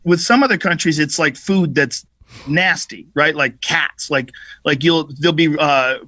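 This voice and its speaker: A comedian in casual conversation, his voice bright and slightly high for a man. He tells stories with plenty of swearing and energy. The studio sound is dry and close. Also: a little slow, no echo. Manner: profane, animated storytelling. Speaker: comedian guest. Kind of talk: casual podcast chat.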